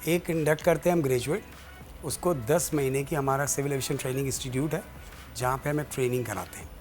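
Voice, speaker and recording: A man with a Pakistani accent answering as an interview guest, explaining in a halting, deliberate way and switching between Urdu and English. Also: mid-range voice, fairly even intonation, darkish tone, soft background music. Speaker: guest, president of an air traffic controllers' guild.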